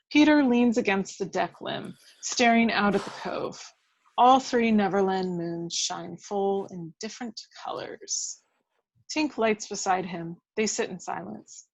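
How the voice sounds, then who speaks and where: A woman's voice: mid-range voice, poor audio. A woman reading stage directions as scripted narration at a table read of a film script.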